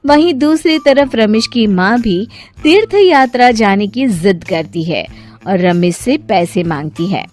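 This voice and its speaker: A woman's voice: medium pitch, animated, a little slow, a little mellow, soft background music. A woman narrator reading a scripted story.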